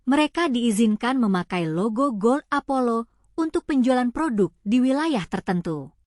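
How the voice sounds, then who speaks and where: High-voiced woman narrating news. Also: expressive, normal speed, a little mellow, no room sound, studio quality.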